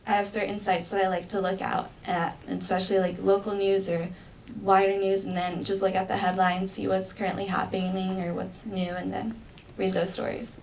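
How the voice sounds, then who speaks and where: A woman's voice: medium pitch, little pitch movement, normal speed. A young adult woman, a college student, answering questions politely and earnestly in a mock job interview, with frequent ums and likes. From United States. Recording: distant mic with faint background noise.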